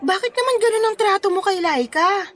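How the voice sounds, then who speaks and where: A woman's voice: extremely high pitch, expressive, a little fast, a bit bright, faint music behind. A woman playing a polite, bashful wedding planner who keeps the peace in a radio drama. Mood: concerned. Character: bashful, conciliatory single female wedding planner.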